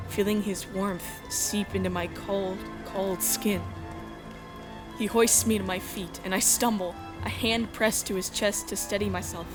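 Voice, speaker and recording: Girl voice actor as young space runaway heroine, anguished n defiant, dramatic emotional delivery, mid pitch, music playing behind. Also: lightly acted. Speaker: voice actor in a fan dub. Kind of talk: dramatic first-person narration of a visual novel.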